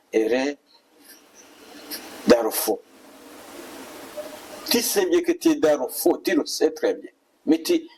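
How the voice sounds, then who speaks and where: A man's voice: medium pitch, a little mellow, far from the mic, a little hiss or hum, low-quality recording. A male preacher, delivering an admonishing, repetitive exhortation as a sermon. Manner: admonishing, repetitive rhetorical questions.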